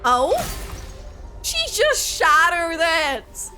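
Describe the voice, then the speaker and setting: A woman streamer giving a casual, exclamatory and expressive reaction to a video game. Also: very high voice, very slow, soft background music.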